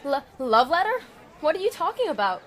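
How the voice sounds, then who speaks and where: High-voiced proud young female general, acted by a woman. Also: sing-song, fairly bright tone, some chatter behind. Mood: flustered. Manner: commanding, quick to fluster and scold. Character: proud young female general, warrior hero, hurt sister. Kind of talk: audio drama acting. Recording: background music under the voice.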